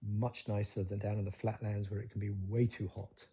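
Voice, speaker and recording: A man's voice: on the low side, flat delivery, fairly quick, warm tone, slightly rough. A man narrating a hobby documentary from a script as its host.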